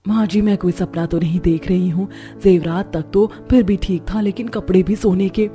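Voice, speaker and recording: A woman's voice: on the low side, fairly even intonation, moderate pace, warm tone, soft background music, close to an everyday voice. A woman voicing a snobbish, exclaiming young new bride in a cartoon story. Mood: delighted. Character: wide-eyed, snobbish young new bride, a rich daughter-in-law. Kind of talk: Hindi cartoon story voice acting.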